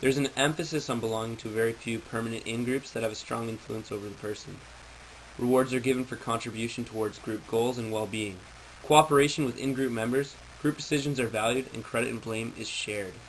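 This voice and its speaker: A man's voice: medium pitch, steady tone, a little fast, slight background noise, low-quality recording. A man reading out a prepared informational presentation in a formal, textbook-like way.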